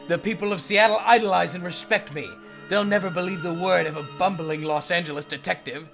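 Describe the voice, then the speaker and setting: A man's voice: high voice, fairly even intonation, brisk, creaky, over music. A man voicing a pompous, vain middle-aged radio psychiatrist in a comic fandub, with haughty, theatrical, ornate diction. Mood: smug.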